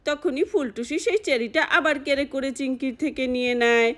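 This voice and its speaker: A woman's voice: high-pitched, moderate pace, a bit bright. A woman narrating a puppet story for children as its storyteller.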